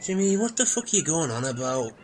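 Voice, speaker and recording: A man's voice: on the high side, sing-song, quick pace, slight background noise. A man playing a fed-up, miserable, foul-mouthed castaway in a comedy radio play, grumbling and exasperated.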